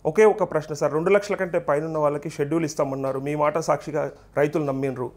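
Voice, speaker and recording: A man's voice: on the high side, fairly even intonation, racing, darkish tone. A male journalist with a Telangana Telugu accent hosting live political commentary, pressing his points with repeated rhetorical questions. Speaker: journalist and TV host.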